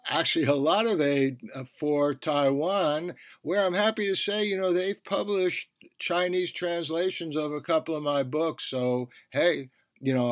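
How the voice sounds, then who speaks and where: A man hosting a podcast, reading a review in a measured, deliberate manner. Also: a little high, dry recording, studio quality. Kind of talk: scripted review narration.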